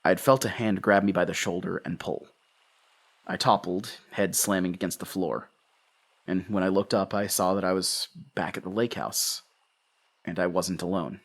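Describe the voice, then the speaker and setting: An actor playing a haunted, guilt-ridden husband and father, narrating a horror audio drama in a halting, anguished way. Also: low voice, fairly even intonation. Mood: dazed, subdued. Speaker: narrator and lead character.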